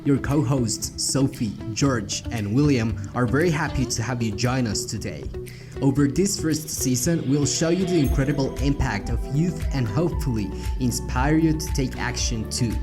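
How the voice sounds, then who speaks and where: Podcast intro announcer over music. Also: female voice, very deep voice, expressive, measured pace. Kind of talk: scripted podcast intro narration.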